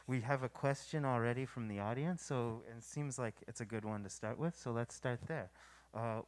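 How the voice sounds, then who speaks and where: A man's voice: mid-range voice, normal speed, slight background noise, low-quality recording. A man hosting and moderating a post-show Q&A, asking questions in a hesitant, friendly way. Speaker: festival host and Q&A moderator. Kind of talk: moderating a live Q&A.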